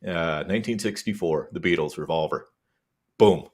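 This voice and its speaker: A man's voice: sits low, moderate pace, darkish tone, a little hiss or hum. A man speaking as a guest on a sports podcast, easygoing and full of ums and you-knows. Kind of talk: casual sports podcast chat.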